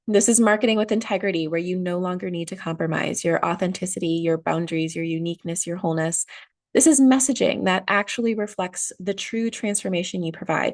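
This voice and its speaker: Nasal, gravelly-voiced woman podcaster. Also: medium pitch, darkish tone, no echo, clean studio sound. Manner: earnest, emphatic, repeats key phrases. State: nasal from a cold. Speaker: podcast host. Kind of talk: solo motivational podcast talk.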